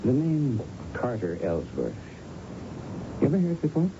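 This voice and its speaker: An actor playing a grave, persistent police lieutenant in a radio drama, speaking in a deliberate, formal, probing way. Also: male voice, medium pitch, wildly swooping pitch. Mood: businesslike. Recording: dull, noisy old radio recording.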